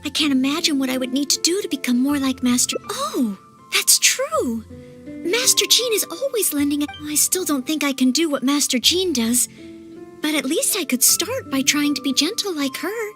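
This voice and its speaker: A woman's voice: high voice, very animated, normal speed, fairly bright tone, soft background music. A woman voicing an earnest, polite, self-doubting young aspiring knight in a video game, eager to help. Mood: humble, wistful. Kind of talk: video game character voice acting.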